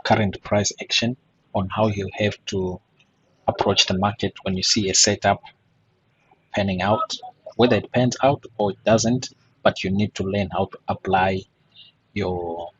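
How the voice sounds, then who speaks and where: A man with a hoarse, husky voice teaching a forex trading lesson over a chart, checking in with "right?" and asking rhetorical questions. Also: fairly low pitch, flat delivery, measured pace, pauses often, dull audio. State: voice still hoarse after a throat infection. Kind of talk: screen-share chart walkthrough lesson.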